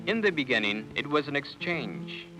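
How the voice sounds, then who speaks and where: A man giving measured, solemn scripted narration for a documentary. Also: on the high side, sing-song, low-quality recording. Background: guitar music.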